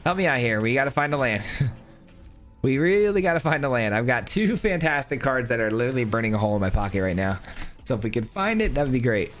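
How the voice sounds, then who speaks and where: A man giving casual, upbeat commentary while playing a card game, thinking aloud, his voice hoarse as he loses it. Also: medium pitch, sing-song, fast, soft background music. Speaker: gaming channel host. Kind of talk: casual live gameplay commentary.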